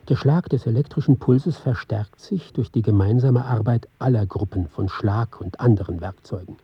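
An actor playing an intent, precise man who recites a sound score for a radio play in short, deliberate phrases. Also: mid-range voice, husky, no echo, highs cut off. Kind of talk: dramatic scripted reading.

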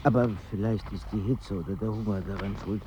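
Fussy, dreamy male intellectual, played by an actor, lowish voice, pedantic and hesitant, slightly muffled radio play. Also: fairly even intonation, a little fast, natural voice. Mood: bewildered, searching. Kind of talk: radio drama dialogue. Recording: dull sound with faint ambient noise.

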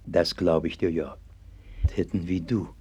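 A devout old-time pastor in a radio drama. His low voice is dark and husky, and he speaks with patient, solemn earnestness, as if comforting a child. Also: fairly even intonation, unhurried, exaggerated voice. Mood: gentle, consoling. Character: gentle, devout 16th-century pastor comforting a sick child. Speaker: actor in a radio play. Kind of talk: 1960s German radio play acting.

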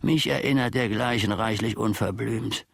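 Old manservant, radio play monologue: gravelly, unhurried, dry close sound, grumbling and theatrical. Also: male voice, on the low side, no echo. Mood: indignant. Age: older. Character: disgruntled, garrulous old manservant of a philosopher.

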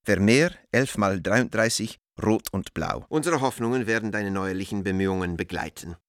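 A man plays a composed businessman reading out his letters in a radio play. The voice is fairly low and very dry. He is measured and formal, setting down each item deliberately. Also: no echo, lightly acted. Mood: cool, formal. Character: composed, pointedly cordial businessman dictating coded letters to a friend.

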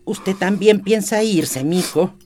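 A woman's voice: mid-range voice, takes it slowly, darkish tone. An actress playing a protective, pious old grandmother in a radio drama, soothing and imploring in Latin American Spanish. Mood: worried, imploring.

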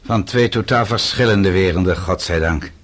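An actor playing a bitter, defiant middle-aged exile in a radio play, sardonic and accusing. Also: male voice, low voice, moderate pace, a bit bright. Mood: scornful, defiant. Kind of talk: Dutch radio play acting.

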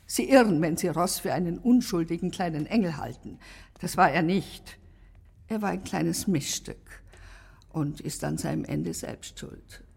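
An unrepentant villainess in a radio play, haughty and contemptuous. Her voice is rough-edged and on the low side, ringing in a long reverb. Every phrase is cold and deliberate. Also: darkish tone, full character voice. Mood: scornful. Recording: long reverberant room sound.

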